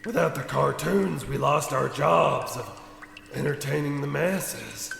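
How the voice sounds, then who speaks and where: An actor playing a goofy but sinister cartoon mascot in a comedic radio play, slow and mock-menacing. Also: male voice, a little high, crowd noise, heavily acted. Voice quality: gravelly. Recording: echoey room with long reverb.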